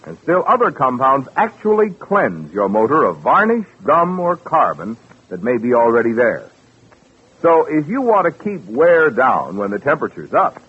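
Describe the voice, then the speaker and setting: A man with a raspy voice giving an emphatic, deliberate 1940s radio advertising pitch. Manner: emphatic, deliberate salesman delivery. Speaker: commercial announcer. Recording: tinny, phone-like vintage radio recording.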